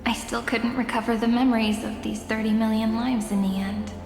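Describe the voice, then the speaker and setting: An actress playing a gentle, dreamy young heroine in a video game, speaking in a tender, poetic, solemn way. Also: female voice, a little high, little pitch movement, a little fast, lightly acted. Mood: wistful, tender. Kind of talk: dramatic game voice acting. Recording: echoey long reverb under clear music.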